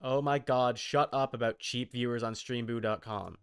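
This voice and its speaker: A man's mid-pitched voice, clear and smooth, recorded very dry and close. He reacts casually as a streamer, thinking aloud in short, broken phrases. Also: fairly even intonation, moderate pace, no room sound. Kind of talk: casual live reaction commentary.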